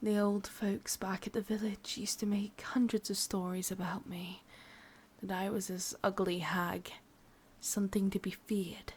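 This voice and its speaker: A woman with an English accent playing a sadistic, possessive, vain witch in a breathy, whispered ASMR roleplay, intimate and menacing. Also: medium pitch, little pitch movement, unhurried. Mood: bitter, vain.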